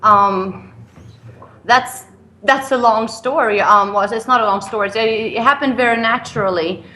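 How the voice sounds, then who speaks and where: A woman's voice: fairly high pitch, a little slow, bright, forceful tone. Hesitant young woman, guest on a call. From Sweden, raised in France. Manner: hesitant, many ums and restarts. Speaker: guest, cigar company founder. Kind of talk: interview over a call.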